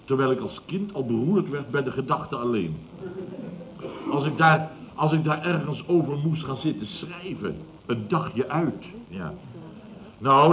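Man acting a blustering, animated middle-aged boss. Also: mid-range voice, natural voice. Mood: indignant, mocking. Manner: pompous, exclamatory, stammers when flustered. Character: blustering, self-satisfied middle-aged boss of a building firm. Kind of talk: 1970s Dutch radio comedy acting. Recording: dull, noisy vintage radio recording.

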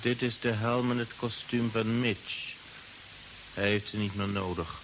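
A man's voice: on the low side, fairly even intonation, measured pace. An actor playing a coldly insistent, mind-controlled man in an old science-fiction radio drama, commanding and emotionless. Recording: hissy old radio recording.

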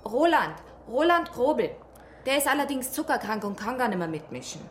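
An actress playing a chatty small-town bakery shopkeeper in a radio drama, folksy and gossipy, with a Bavarian accent. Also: female voice, a little high, very animated, fairly quick. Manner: folksy, chatty, gently disapproving. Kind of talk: naturalistic radio drama dialogue. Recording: clear ambient noise behind dialogue.

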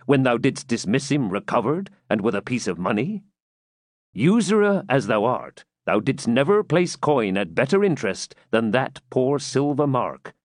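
A plain-spoken outlaw captain of storybook legend, acted by a male reader speaks with grave command in old-fashioned phrases. The mid-pitched voice moves expressively, recorded dry and close. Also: dry recording. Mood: reassuring. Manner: grave, commanding, archaic speech.

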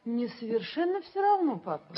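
An actress playing a well-bred, self-possessed young daughter in a theatrical radio play. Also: female voice, a little high, very animated, normal speed, husky. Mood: poised. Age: young adult. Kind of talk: theatrical radio drama acting. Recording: dull, noisy 1970s radio recording.

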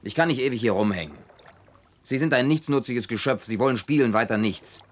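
A man's voice: mid-range voice, expressive, brisk, lightly acted. An actor playing a stern, stubborn and disapproving man in a German radio drama, blunt and reproachful in delivery.

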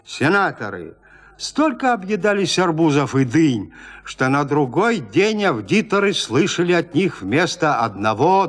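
A man narrating literary fiction in an expressive, theatrical, gently ironic storytelling manner. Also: fairly high pitch. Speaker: narrator reading classic fiction.

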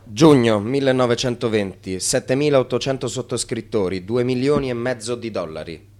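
A chronicler narrating a radio play, voiced by a male actor. He delivers clipped, declarative phrases in a medium-pitched voice. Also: moderate pace, darkish tone, close to an everyday voice. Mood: factual. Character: dramatic narrator chronicling a swindler's rise.

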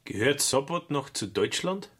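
An actor playing a wary small-time swindler in a radio play, resigned and grumbling, meek on the surface. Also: male voice, mid-range voice, big pitch swings, normal speed, fairly bright tone, husky, dry recording. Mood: puzzled. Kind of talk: German radio play acting.

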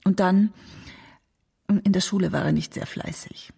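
An elderly, worried mother looks back on her son in a radio play. Her voice is husky and creaky, with a dark, mellow tone. She speaks plainly and carefully. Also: medium pitch, steady tone, unhurried, exaggerated voice. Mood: worried, wistful. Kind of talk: radio drama monologue.

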